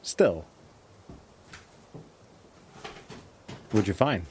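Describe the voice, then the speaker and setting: An actor playing a cautious, worried man surviving on the road in an audio drama, with a husky voice and wary, questioning delivery. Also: on the low side, lively intonation, moderate pace, darkish tone, slight background noise, lightly acted. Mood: concerned, curious. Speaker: supporting lead actor. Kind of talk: naturalistic audio drama dialogue.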